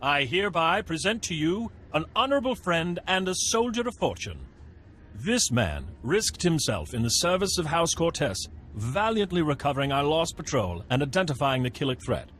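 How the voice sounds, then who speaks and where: Casting: game court herald, stately announcements, higher male voice, sweeping intonation. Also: sing-song, moderate pace, a bit bright, slight background noise. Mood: ceremonial. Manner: ceremonial, declamatory. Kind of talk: video game voice acting.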